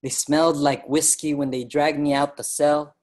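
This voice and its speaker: Actor as earnest young Filipino migrant organizer. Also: male voice, on the high side, level tone, moderate pace, darkish tone, lightly acted. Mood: reflective narration. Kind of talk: dramatic staged reading, first-person narration. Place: virtual live event, remote reading.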